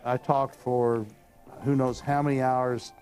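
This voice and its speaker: An older man with a hoarse, worn voice speaks in a relaxed, folksy manner and rambles easily from one story to the next. He sounds like a studio show host chatting about his hobby, with faint music in the background. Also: mid-range voice, takes it slowly. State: hoarse from talking all weekend. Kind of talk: casual studio chat. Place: studio with telescopes on display.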